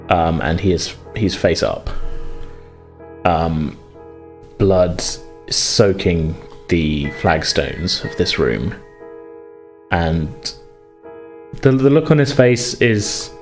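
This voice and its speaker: A man's voice: sits low, lively intonation, extremely slow, long pauses, soft background music. A man narrating a tabletop horror game as game master, phrasing things hesitantly as he improvises.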